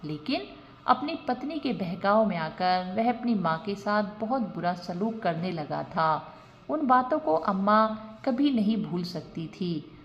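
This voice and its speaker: A woman's voice: medium pitch, lo-fi sound. Lady reading out a moral family story, takes her time, voice moves up and down a lot.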